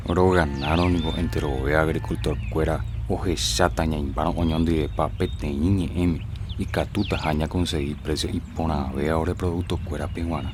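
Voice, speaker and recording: Guy acting earnest paraguayan farmer dad, super deep voice, radio soap, birds and noise behind. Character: earnest father, farmer organizing fellow cane growers.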